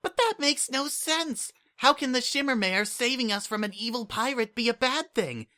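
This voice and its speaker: A man voicing a small, eager young female changeling child in an audiobook-style reading, with a high, insistent manner. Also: moderate pace, full character voice. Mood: indignant. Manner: excited, high-pitched and insistent.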